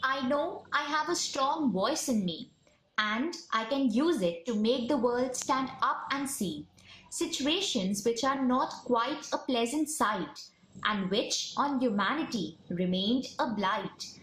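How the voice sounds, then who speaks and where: A woman reciting a rhyming poem in a measured, deliberate way. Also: fairly high pitch, lively intonation, fairly bright tone. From India. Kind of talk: poetry recitation. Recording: echoey room.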